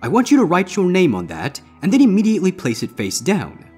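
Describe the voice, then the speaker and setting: An ominous male stage magician, acted out by a man for a fan fiction story. The voice is husky and higher than average, swinging dramatically in pitch with deliberate, showy patter. Faint music plays underneath. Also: huge pitch swings, a little mellow, exaggerated voice. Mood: explaining, ominous. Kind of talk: character voice in audiobook-style fan fiction reading.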